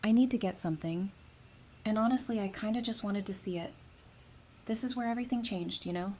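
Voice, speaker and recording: A woman's voice: mid-range voice, brisk, a little mellow, lightly acted. A woman playing an easygoing, friendly and sincere survivor in an audio drama. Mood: casual, reflective. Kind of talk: fan audio drama acting.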